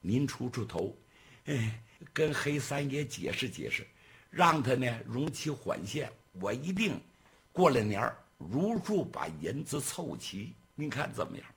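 A man's voice: mid-range voice, big pitch swings, a little slow, creaky, husky, exaggerated voice. A man voicing a desperate, fawning male steward in a traditional storytelling piece, whining and stammering as he begs. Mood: pleading.

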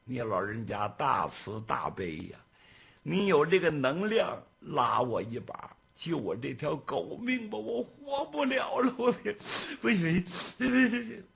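A man's voice: medium pitch, big pitch swings, unhurried, vocal fry, fairly bright tone, breathy edge, exaggerated voice. A man voicing a desperate, fawning male steward in a traditional storytelling piece, whining and stammering as he begs. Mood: pleading.

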